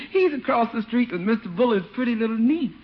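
A sassy housekeeper in a vintage radio comedy. She talks in a folksy way, lively in pitch and full of teasing laughter, on a dull old recording. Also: moderate pace, slight background noise. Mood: teasing, amused. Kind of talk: 1950s radio sitcom acting.

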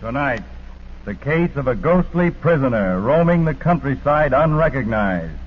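A man's voice: mid-range voice, big pitch swings, normal speed. A man giving a dramatic 1940s radio announcement as the show's announcer. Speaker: show announcer. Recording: tinny, echoing, hissy 1940s radio.

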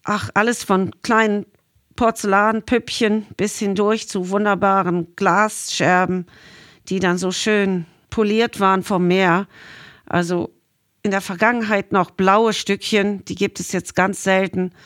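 Interview guest: middle-aged woman from Ireland, medium pitch, recalling things conversationally, very dry sound. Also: fairly even intonation, a little slow, no echo. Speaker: interview guest, marine scientist. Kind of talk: conversational storytelling, reminiscing.

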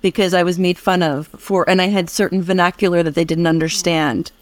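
A woman's voice: lowish voice, steady tone, a little fast. A woman from the United States hosting a conversational interview, empathetically relating to the guest. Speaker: podcast host.

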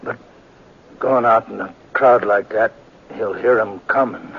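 An actor playing a wounded, aging American western sheriff in a radio drama, speaking weakly and haltingly in a folksy, guilt-ridden way. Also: male voice, fairly low pitch, vocal fry, slightly rough, full character voice. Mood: grim, urgent. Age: older. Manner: weak, halting, folksy speech with trailing repeats. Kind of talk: 1950s radio western acting. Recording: muffled, noisy 1950s radio recording.

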